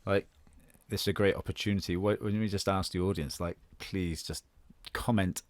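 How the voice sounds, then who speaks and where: A man's voice: sits low, steady tone, a little fast, dry recording. A man chatting casually and enthusiastically as an actor and writer. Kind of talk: casual chat.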